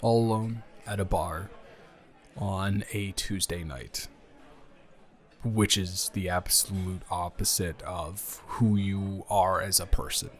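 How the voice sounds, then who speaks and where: A man's voice: deep voice, fairly even intonation, slow. A man playing a smitten, awkwardly playful friend with benefits in an improvised romantic audio roleplay, speaking hesitantly and teasingly. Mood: teasing, curious.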